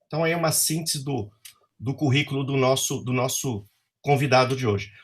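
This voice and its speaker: A man's voice: medium pitch, brisk. A man hosting an academic webinar over a video call, reading a formal introduction with frequent fillers. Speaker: webinar host.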